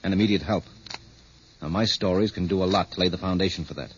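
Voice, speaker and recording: A man playing a skeptical reporter in a vintage radio play, rather low-voiced and quick. He is casual, blunt and probing, heard on a dull old recording with faint hiss. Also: lightly acted. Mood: brisk, probing. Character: brisk, skeptical American male journalist. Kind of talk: 1950s radio drama acting.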